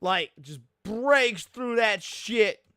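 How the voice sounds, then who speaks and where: A man giving casual, slangy live reaction commentary as a streamer, excitable and prone to swearing. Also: high voice, very slow, clear, resonant tone. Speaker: streamer reacting to horror videos.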